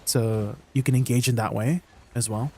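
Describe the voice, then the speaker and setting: A man's voice: medium pitch, normal speed, slight background noise. Laid-back, laughing male game streamer. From Canada. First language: Tagalog. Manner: laughs often, relaxed, lots of ums while explaining. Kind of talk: casual live-stream chat and gameplay tips.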